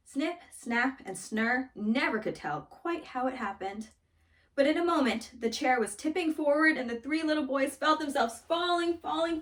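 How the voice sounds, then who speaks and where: A woman reading a children's story aloud in an expressive, dramatic storytelling manner. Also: sits high, recorded from a distance. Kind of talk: scripted storybook read-aloud.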